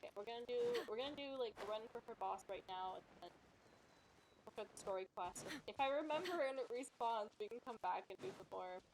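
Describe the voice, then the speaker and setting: A woman's voice: on the high side, a little fast, a little hiss or hum, rough recording. A woman giving casual, chatty commentary on a game livestream, rambling and often trailing off mid-thought. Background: music.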